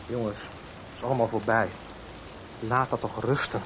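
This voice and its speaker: A man's voice: medium pitch, fairly even intonation, moderate pace, fairly bright tone, close to an everyday voice. An actor playing an evasive, world-weary middle-aged father in a radio soap, terse and hesitant, with rain behind him. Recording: noisy rainy background.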